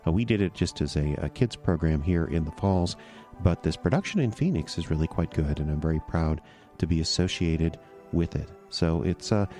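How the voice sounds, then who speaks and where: Rich: A middle-aged man introduces his radio theater show in a relaxed, casual way, pausing on fillers as he goes. His voice is very low and mellow. Faint music sits underneath.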